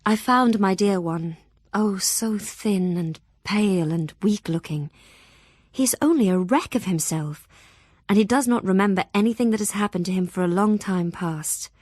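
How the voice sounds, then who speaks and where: Actress doing earnest young victorian lady reading her diary in an audio drama, tender, dry studio sound, mid pitch. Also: normal speed, a little mellow, no echo, natural voice. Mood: tender, concerned. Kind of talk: audio drama, reading journal and letters aloud.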